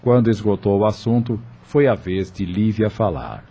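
A man narrating a radio drama from a script in a measured storytelling style, with a husky voice. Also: lowish voice, lively intonation, mellow.

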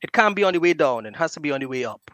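Slightly high-voiced man, dry sound. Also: sing-song, rapid-fire, fairly bright tone, no room sound. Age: late 30s. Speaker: podcast guest. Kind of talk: casual chat.

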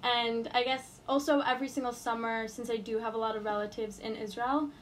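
A woman's voice: a little high, fairly even intonation, brisk, a little hiss or hum. A young woman in college from New York, answering interview questions casually with lots of fillers and restarts. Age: college student. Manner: hesitant, many fillers and restarts.

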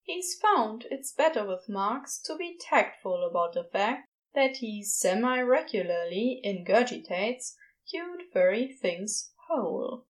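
A woman with a fairly high voice reads fiction aloud. She is the narrator, delivering scripted storytelling prose. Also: animated, slow pace, low-quality recording.

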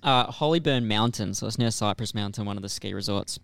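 Man, podcast co-host, upbeat chatty manner, gravelly smooth voice. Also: on the low side, fairly bright tone, no room sound. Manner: upbeat, chatty, quick to react. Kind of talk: casual podcast chat.

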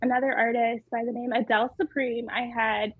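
Tiny-voiced giggly woman, slightly high. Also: flat delivery, fairly quick, husky, dry recording, narrow, tinny audio. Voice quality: small, tiny voice. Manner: giggly, hesitant with many fillers. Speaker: muralist guest. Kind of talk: casual interview chat.

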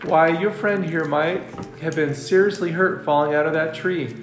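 A man's voice: a little high, steady tone, moderate pace, voice pushed up, lightly acted. A man voicing a kindly but firm older woman in a storybook, scolding in a folksy, motherly way. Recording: roomy echo, music behind.

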